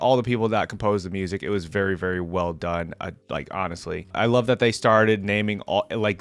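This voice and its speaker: A man's voice: on the low side, dry recording. A man hosting a reaction video, commenting casually and informally as he thinks aloud.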